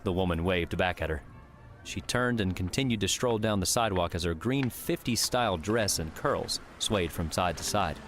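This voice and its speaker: A man's voice: low voice, normal speed, a bit bright, soft background music. A man reading scripted fantasy story narration as an audiobook narrator.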